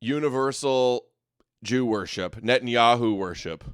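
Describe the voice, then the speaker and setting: A man's voice: mid-range voice, unhurried, clear, resonant tone, no room sound, studio quality. A man hosting an online show, giving casual, mocking running commentary on clips in a sarcastic, jeering way.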